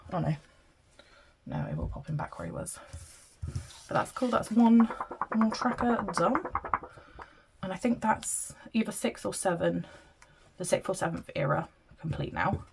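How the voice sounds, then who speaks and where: Snuffly British woman with a gravelly voice. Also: on the low side, sing-song, a little slow, pauses often, a little mellow, slight background noise, poor audio. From Britain. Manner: chatty, counting money aloud, apologetic asides. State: blocked-up nose from hay fever. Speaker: budgeting vlogger. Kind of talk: casual chat while counting cash aloud.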